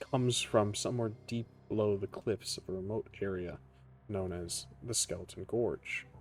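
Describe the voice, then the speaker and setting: A man acting as game master, narrating a tabletop roleplaying game with casual asides. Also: fairly low pitch.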